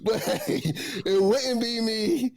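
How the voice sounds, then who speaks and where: A man's voice: very high voice, slow, crowd noise. A man co-hosting a casual music podcast who laughs often and acts out the reactions he quotes. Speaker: podcast co-host. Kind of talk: casual chat.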